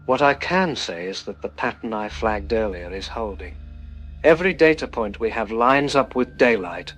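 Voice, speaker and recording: A man's voice: fairly low pitch, lively intonation, moderate pace, a bit bright, soft background music. A man playing a cautious, sober doctor interviewed as an expert in a scripted news broadcast. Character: cautious, sober male doctor advising the public.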